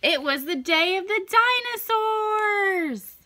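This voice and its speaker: A young adult woman reading a picture book aloud to children, expressive and deliberate, stretching words for emphasis. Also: very high-pitched, no echo. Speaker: children's story time reader. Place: small indoor room at home.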